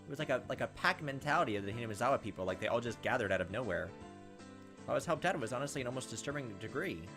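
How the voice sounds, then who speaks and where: Male let's play host, mid pitch, lively swings, reads narration with wry comments over music. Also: very fast. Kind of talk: reading game text aloud with casual commentary. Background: game music.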